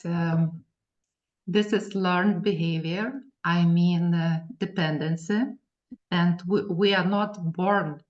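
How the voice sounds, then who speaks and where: A woman with a Lithuanian accent interviewing a guest, asking carefully while searching for words. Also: on the low side. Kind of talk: interview questions. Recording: dull lo-fi sound.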